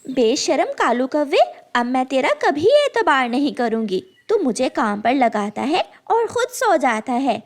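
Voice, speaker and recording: Woman as a high, lively little cartoon bird. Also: unhurried. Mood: indignant. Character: hardworking, indignant little female bird.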